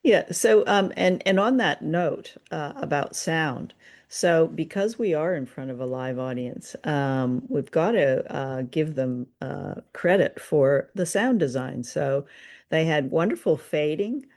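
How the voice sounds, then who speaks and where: A woman's voice: low voice, a little slow, a little mellow. A woman co-hosting a podcast, chatting casually and appreciatively as she reviews radio dramas.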